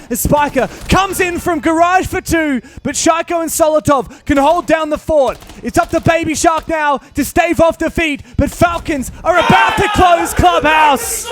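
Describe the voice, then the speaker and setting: A man casting an esports match as play-by-play commentator, laughing often and building to shouted hype on big plays. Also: extremely high pitch, background noise. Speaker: esports caster.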